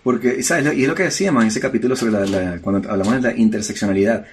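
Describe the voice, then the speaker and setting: A man's voice: on the low side, fast talker, a little hiss or hum. A man with a Venezuelan accent, co-hosting a podcast in a casual discussion, arguing emphatically with lots of filler words. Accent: Venezuelan Spanish. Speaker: podcast co-host.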